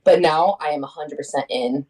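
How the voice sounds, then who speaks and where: A woman's voice: deep voice, moderate pace, darkish tone. A young woman from Connecticut, a singer-songwriter guest, chatting casually with rambling restarts. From Connecticut, USA. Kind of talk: casual interview chat.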